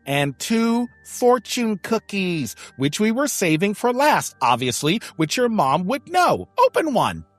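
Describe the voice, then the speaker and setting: A woman's voice: medium pitch, very animated, unhurried, hardly any pauses, dry recording. A woman playing a pompous, arch circus performer on an adventure, speaking grandly in an audio drama. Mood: excited, urgent. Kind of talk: audio drama character acting.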